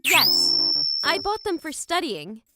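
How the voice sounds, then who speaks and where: A sweet young female student from a manga cartoon, played by a woman, frank and polite. Her voice is high-pitched and matter-of-fact. Music runs clearly underneath. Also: very animated, slow pace, heavily acted. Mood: earnest. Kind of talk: manga dub dialogue. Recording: music behind the voice. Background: background music.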